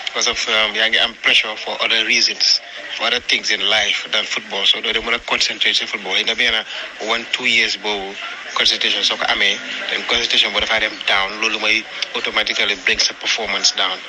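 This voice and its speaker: A man's voice: on the low side, little pitch movement, fast. A man with a Gambian accent, talking in a panel discussion. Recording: clearly noisy background.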